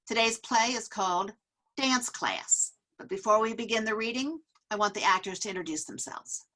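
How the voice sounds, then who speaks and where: A woman's voice: mid-range voice, normal speed, pushed and bright, poor audio. A woman giving a scripted introduction as playwright and host.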